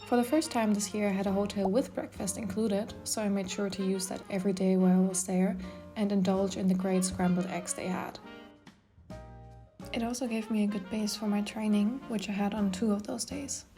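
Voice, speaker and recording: A woman's voice: medium pitch, moderate pace. Young woman narrating over music. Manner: relaxed, chatty narration. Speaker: vlogger, consultant.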